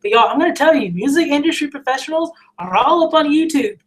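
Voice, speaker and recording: A woman's voice: high voice, animated, fast talker. An American woman with a slightly scratchy voice talks casually and earnestly to a livestream audience, encouraging them with informal, emphatic repetition. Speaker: musician hosting a livestream question and answer session. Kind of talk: casual encouraging chat, reading out viewer questions.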